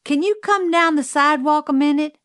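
A woman's voice: high-pitched, fairly quick, fairly bright tone, no echo, clean studio sound, pitched above the performer's own voice. A woman voicing an earnest, insistent Southern boy in an audiobook, plain-spoken, with a Southern US accent. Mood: earnest, worried. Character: earnest Southern boy, older brother, worried and stubborn. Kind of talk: audiobook character voice.

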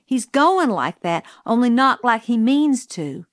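Woman narrator as Southern boy, earnest, insistent, plain-spoken, high voice, audiobook character, dry sound. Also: sing-song, a little slow, no echo. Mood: earnest, worried. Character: earnest Southern boy, older brother, worried and stubborn.

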